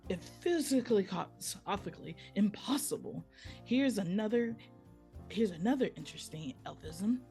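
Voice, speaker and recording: A chatty, proud elf storyteller played by a woman spins tales straight to the listener in a folksy, conversational way. The voice sits a little low, with music playing along in a live script read. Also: vocal fry, mellow.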